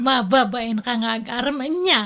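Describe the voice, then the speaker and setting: A rich, flirtatious boss lady in a radio drama. She speaks in a high, unhurried voice, coy and teasing as she shows off her generosity. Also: very animated, a bit bright. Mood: flirty, teasing. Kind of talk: Ilocano radio drama acting.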